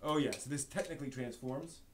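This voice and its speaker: A man's voice: medium pitch, a little fast. A man hosting a casual live show-and-tell stream, rambling and thinking aloud as he handles things. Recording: noisy live stream audio.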